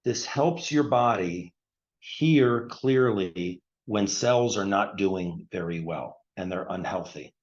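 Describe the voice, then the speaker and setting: A man in his sixties with a lowish voice, walking listeners through a health product step by step. He clears his throat often and repeats points in plain terms. The recording sounds dull and lo-fi. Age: 60s. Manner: plain explanatory talk with frequent throat clearing. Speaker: retired surgeon presenting a health product. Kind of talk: explanatory product presentation.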